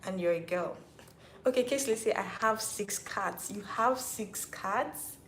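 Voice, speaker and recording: A woman's voice: medium pitch, measured pace, a little hiss or hum. A Nigerian woman in her twenties chatting casually on a livestream, reading viewer comments aloud and often saying "like". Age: 20s. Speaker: YouTuber hosting a live Q&A. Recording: roomy echo.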